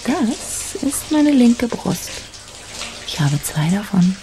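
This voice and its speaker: An actress playing a teasing, self-possessed lover in a radio crime drama, speaking with intimate, wry ease. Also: female voice, on the high side, very animated, slow pace.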